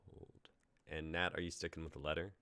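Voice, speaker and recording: A young man with a very low voice speaks from a home mic with a dry, close sound. He is laid-back and laughs easily. When he talks about his own writing, he turns reflective. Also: flat delivery, brisk, bright, forceful tone, smooth, dry recording. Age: young adult. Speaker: host and stage-direction reader. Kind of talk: casual chat and script narration.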